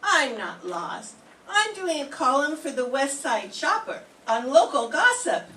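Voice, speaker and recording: A woman's voice: high voice, very animated, measured pace, pushed and bright, exaggerated voice. An older woman playing a naive, scatterbrained young woman reporter with wide-eyed gasps in an amateur radio drama reading. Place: classroom. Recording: echoey room, far from mic.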